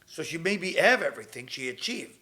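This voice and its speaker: A man, his voice sitting a little higher than most men's. He teaches with earnest conviction, circling back over his phrases in loose grammar. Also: sing-song, brisk, sharp tone, low-quality recording. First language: Hebrew. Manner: earnest, repeats phrases, non-native grammar. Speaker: kabbalah teacher. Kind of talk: weekly spiritual talk.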